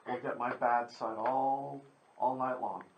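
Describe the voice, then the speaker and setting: A man's voice: medium pitch, fairly even intonation, slow pace. A man hosting a live radio interview in a casual, chatty, rambling and courteous way. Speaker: radio host. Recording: noisy, with background ambience.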